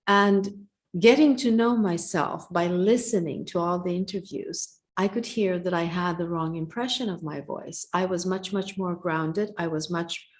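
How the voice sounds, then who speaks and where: A middle-aged woman co-hosting a live-stream conversation over a video call, speaking slowly and calmly, almost meditatively. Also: fairly low pitch. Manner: slow, calm, thoughtful, almost meditative. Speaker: co-host and coach.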